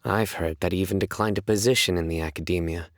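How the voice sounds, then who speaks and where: An actor playing a stern, composed young male judge in a video game, speaking in a measured, serious, deadpan way. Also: sits low, no room sound, studio quality. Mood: solemn, respectful. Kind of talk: scripted game character voice lines.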